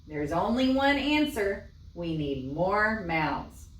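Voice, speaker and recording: A wise village rabbi from a children's storybook, read out by a woman, who gives decisive, exclaimed instructions. The delivery is unhurried, and the sound is roomy and set back from the microphone. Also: medium pitch, big pitch swings. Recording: echoey room, far from mic.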